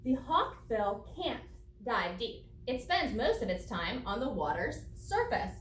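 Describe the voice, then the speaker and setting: A woman teacher reading aloud to children and explaining each step in a deliberate, instructive way. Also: fairly high pitch, very animated, a little hiss or hum. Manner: deliberate, explanatory, spelling out steps for young pupils. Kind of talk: children's read-aloud lesson with think-aloud commentary. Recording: roomy echo, distant from microphone.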